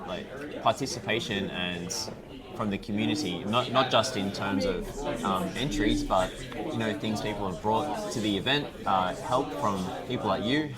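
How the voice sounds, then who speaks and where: A man's voice: fairly low pitch, a loud crowd. A man judging a competition, speaking earnestly and a little hesitantly in a casual chat. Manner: earnest, measured, hesitant fillers. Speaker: competition judge. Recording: loud music behind.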